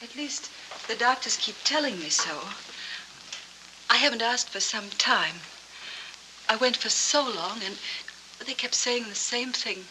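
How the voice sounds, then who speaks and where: An actress playing a lonely, wistful woman with a hazy memory in a 1940s film noir, speaking in halting, dreamy, hushed phrases. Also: a little high, expressive, noisy background. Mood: guarded, polite. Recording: old 1940s film soundtrack, music behind.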